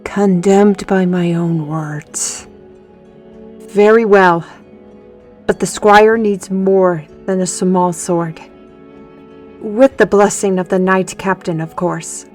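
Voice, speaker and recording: An actress playing a defiant, hot-tempered mage on parole in a fantasy audio drama. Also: female voice, mid-range voice, steady tone, takes it slowly, long pauses, a little mellow, soft background music, lightly acted. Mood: reluctant.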